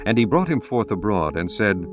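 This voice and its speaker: A man reading scripture aloud as a narrator, slow, measured and solemn. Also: fairly low pitch, sing-song, music in the background.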